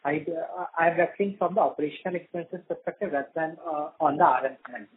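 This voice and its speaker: A man's voice: on the high side, quick pace. A man politely putting questions as an analyst on an earnings call. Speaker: financial analyst asking questions. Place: phone call. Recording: thin phone line with clear noise.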